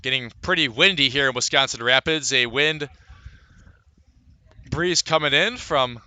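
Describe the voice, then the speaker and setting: A man calling live baseball play-by-play as a radio announcer, conversational between pitches and lifting his delivery for the action. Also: a little slow, no room sound. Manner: lifts into excitement on plays, conversational between pitches.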